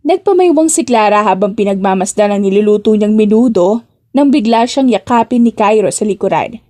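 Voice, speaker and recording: A woman's voice: mid-range voice, animated, brisk, warm tone. A woman reading scripted romance story narration as an audiobook narrator.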